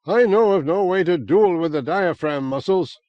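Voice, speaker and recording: A man's voice: high voice, animated, measured pace, dry recording, dull audio, voice pushed up. A man voicing a blustering, contemptuous male revolutionary leader in an audiobook, barking scornfully.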